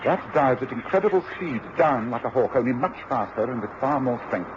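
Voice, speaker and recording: An actor playing a brisk, assured detective in a radio drama, explaining with authoritative precision. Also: male voice, medium pitch, expressive, close to an everyday voice. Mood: explaining, intent. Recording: noisy, muffled old radio drama recording.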